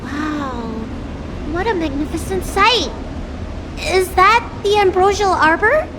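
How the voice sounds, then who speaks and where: High-pitched, cheeky young dragon girl. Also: expressive, slow, background noise, full character voice. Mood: bright, inquisitive. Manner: playful, frank, childlike. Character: young dragon-horned girl healer, blunt, cheeky, curious. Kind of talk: video game voice acting. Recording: loud music bed. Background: game music.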